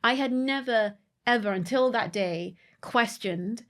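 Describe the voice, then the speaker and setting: A woman's voice: fairly high pitch, unhurried. A woman co-hosting a podcast, recalling her career in a reflective, conversational way with frequent ums. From United Kingdom. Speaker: podcast co-host.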